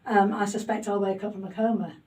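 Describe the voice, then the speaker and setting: A woman's voice: mid-range voice, fairly even intonation, fast talker, a little mellow, recorded from a distance. A woman hosting a homemade podcast interview in her loft, chatty and self-deprecating with plenty of fillers. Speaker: podcast host. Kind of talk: casual interview chat. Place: home loft.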